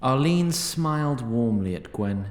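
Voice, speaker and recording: A man's voice: lively intonation, unhurried. A man narrating a fantasy audio drama in a droll, wry, bookish manner. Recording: roomy echo, faint rumbling noise.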